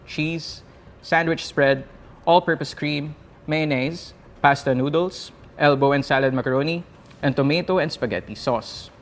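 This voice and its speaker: Male news presenter, Filipino accent, formal scripted delivery, mid-range voice. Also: mid-range voice. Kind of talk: scripted news roundup narration.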